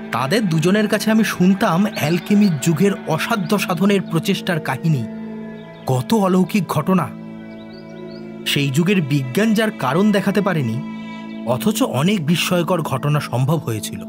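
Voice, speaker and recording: A man acting an obsessed, secretive scientist in a Bengali audio drama, confessional and emphatic. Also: a little high. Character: obsessed male scientist, intense, secretive, driven to create life. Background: background music.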